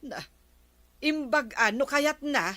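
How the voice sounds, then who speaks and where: Bright-voiced inquisitive village woman. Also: high voice, slow pace, dry recording, full character voice. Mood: curious. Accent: Ilocano. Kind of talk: Ilocano radio comedy drama acting.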